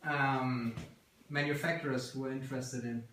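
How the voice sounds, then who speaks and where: A man's voice: medium pitch, steady tone, moderate pace, a bit bright. A man in his thirties with a German accent, explaining his work informally on a workshop tour, often searching for words. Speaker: company founder giving a workshop tour. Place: small workshop room, indoors. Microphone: handheld camera a couple of metres away. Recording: roomy handheld video, background noise, clicks.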